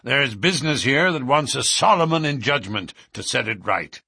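A man voicing a hearty, domineering male Irish lodge boss in a storybook, booming and ceremonious. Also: expressive, moderate pace, vocal fry, sharp tone, slightly rough, no echo, studio quality, exaggerated voice. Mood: hearty welcome.

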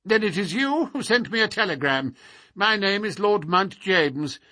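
A man voicing a querulous, miserly little old lord with a sharp crackle and a quick, intense manner. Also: sits high, lively intonation, dry recording, clean studio sound, voice pushed up, full character voice. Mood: querulous, suspicious.

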